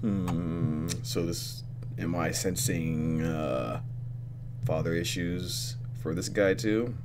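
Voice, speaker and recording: A young man hosting a let's play, reading story text aloud and commenting casually in an animated, conversational way. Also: low-pitched, takes it slowly, over music.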